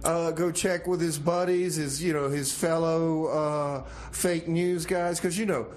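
A man playing an excitable radio host in comedic banter, casual and halting, repeating himself as he goes. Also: on the high side, lightly acted. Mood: questioning. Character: excitable male radio host, worried partner in shenanigans.

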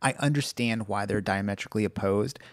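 A man's voice: fairly low pitch, fairly quick, dry recording, studio quality. A man co-hosting a podcast in casual chat, rambling with many "like"s and restarts. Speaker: podcast co-host.

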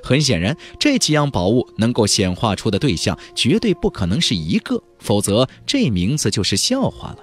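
Very animated male audiobook narrator. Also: mid-range voice, normal speed, few pauses, faint music behind. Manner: expressive, emphatic delivery. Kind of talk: dramatic scripted storytelling.

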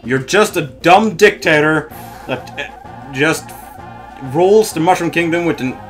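A man's voice: fairly high pitch, a little slow. A man bantering brashly and swearing while playing a party video game with friends. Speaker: gaming commentator. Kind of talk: casual gaming banter. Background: game music.

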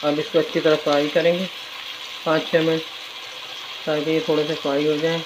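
Woman with even pitch, noisy kitchen recording. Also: moderate pace. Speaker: home cooking vlogger. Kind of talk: casual recipe narration while cooking. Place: home kitchen, indoors. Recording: dull phone audio, loud frying sizzle. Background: frying, sizzling and stirring.

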